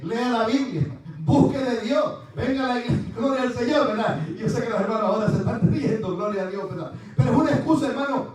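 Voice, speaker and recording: A man preaching with fervent energy, his voice pitched very high for a man. He acts out the words of others and breaks into calls of praise. The sound is boomy and echoing, picked up from some distance. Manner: fervent, animated, acts out quotes, exclaims praise. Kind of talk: sermon, livestreamed church program. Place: church. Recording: echoey room, mic at a distance.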